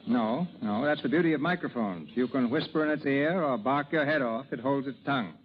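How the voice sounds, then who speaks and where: A man's voice: mid-range voice, fairly quick. A man hosting a scripted, bantering 1930s radio interview. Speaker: interviewer and show host. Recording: dull, slightly noisy 1930s radio recording.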